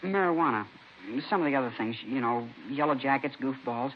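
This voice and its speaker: A man's voice: medium pitch, fairly quick, natural voice. An actor playing a cooperative, slangy seventeen-year-old boy being questioned, in casual 1950s radio drama style. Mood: casual, candid. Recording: muffled vintage radio recording, faint noise.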